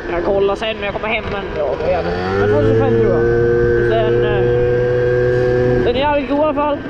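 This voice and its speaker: A man's voice: high-pitched, very animated. Young male moped vlogger, casual and sweary, muffled action-cam sound, heavy wind and engine noise. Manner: loose and chatty, swearing casually. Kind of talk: casual chat while riding. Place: outdoors on a moped, bike paths and parking lot. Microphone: helmet or handlebar action camera.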